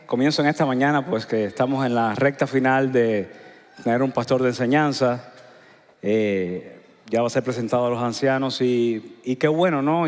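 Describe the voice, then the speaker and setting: A middle-aged man with a Cuban accent, preaching a sermon in a conversational way with frequent filler pauses. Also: mid-range voice, a little mellow. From Cuba. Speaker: pastor. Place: large church hall. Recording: long hall reverb.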